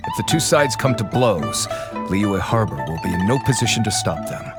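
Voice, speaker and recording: A man's voice: on the low side, big pitch swings, moderate pace. A man voicing a shrewd male agent who talks in a dry, conspiratorial, knowing way, in a game scene. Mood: wary. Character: shrewd male agent of a foreign faction, wary. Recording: game audio with music behind.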